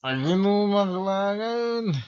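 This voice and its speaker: A man's voice: high voice, expressive, slow pace. A man acting a whiny, defiant hard-drinking husband in an Ilocano radio drama. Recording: muffled recording with music behind. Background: music.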